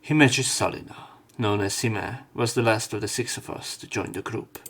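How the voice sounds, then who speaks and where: A man's voice: lowish voice, steady tone, normal speed, fairly bright tone. A man narrating a visual novel let's play, reading the story text aloud with commentary.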